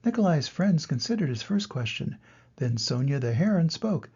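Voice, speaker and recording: An older man reading a children's story aloud as a grandfather, with expressive, deliberate narration and dramatic emphasis. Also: on the high side, slightly rough, lo-fi sound.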